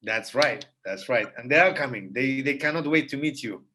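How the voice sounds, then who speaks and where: A man's voice: mid-range voice, moderate pace, sharp tone, a little hiss or hum. Earnest man. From Iraq. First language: Kurdish. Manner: earnest and emphatic, repeating phrases for effect. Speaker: guest. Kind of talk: heartfelt conversation.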